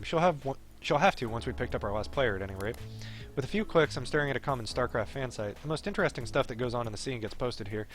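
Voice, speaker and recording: A man streaming a game, reading its text aloud in an expressive, wry way with casual asides. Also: medium pitch. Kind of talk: live playthrough, narrating text with casual asides.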